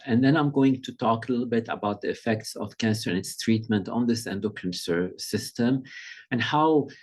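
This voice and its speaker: Middle-aged man from Lebanon, a pediatric specialist giving an easygoing talk on a video call, lowish voice, measured and thoughtful with frequent pauses. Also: a little mellow, husky. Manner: measured, conversational, pauses often to find words. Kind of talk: informal presentation.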